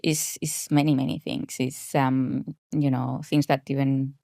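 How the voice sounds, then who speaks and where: A woman's voice: a bass voice, level tone, measured pace, vocal fry, mellow, no room sound, clean studio sound. A woman in her forties with a Spanish accent, a podcast guest talking casually and hesitantly with many fillers. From grew up in Spain. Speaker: podcast guest, health coach. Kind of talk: casual interview talk.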